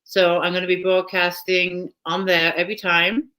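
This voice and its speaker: A woman's voice: fairly low pitch, level tone, normal speed, pushed and bright, poor audio. A woman with a British accent hosting a casual live author chat from home, talking chattily and laughing often. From Britain. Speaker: host.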